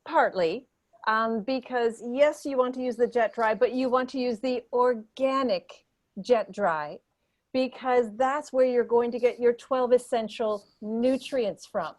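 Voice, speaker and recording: A woman plays a condescending female doctor of learning in an improvised comedy debate. Her voice is high, and she talks pompously, hesitating and then leaning hard on her points. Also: a little mellow, lightly acted. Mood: smugly conceding. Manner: pompous, halting, emphatic. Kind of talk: improvised mock panel debate.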